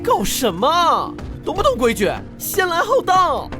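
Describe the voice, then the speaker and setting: A shrill, swooping petulant young man. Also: wildly swooping pitch, a little slow, music in the background, exaggerated voice. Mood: petulant, indignant. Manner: theatrical, petulant. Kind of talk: animated drama dialogue.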